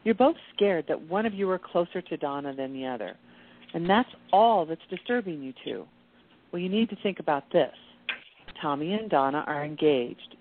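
A woman's voice: sits low, a little hiss or hum. A woman playing a knowing, firm peacemaker who admonishes her friends in an amateur radio play. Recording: tinny, phone-like sound.

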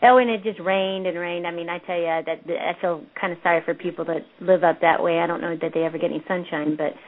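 A woman's voice: fairly low pitch, steady tone, quick pace. Woman guest chatting over a tinny phone line. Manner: chatty, rambling, trails off to recall names. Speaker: guest researcher. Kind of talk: casual interview talk.

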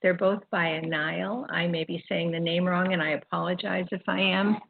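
An older woman hosting an online spiritual radio program, speaking in a reflective, informal monologue with halting, deliberate phrasing. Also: lowish voice, flat delivery, creaky. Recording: dull, phone-like internet radio audio. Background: music.

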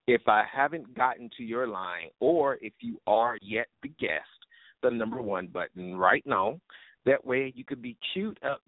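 Animated male radio host on a phone line. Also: mid-range voice, no echo. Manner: informal, slangy, cursing. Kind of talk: casual, unscripted live talk show hosting. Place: live internet radio call-in show. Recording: tinny, narrowband phone-line internet radio sound.

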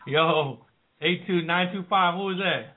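A man's voice: sits high, measured pace. Hyped-up man hosting call-in radio. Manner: loose, hype announcer talk. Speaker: radio show host. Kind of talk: casual radio chat, taking calls. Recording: phone-like thin audio.

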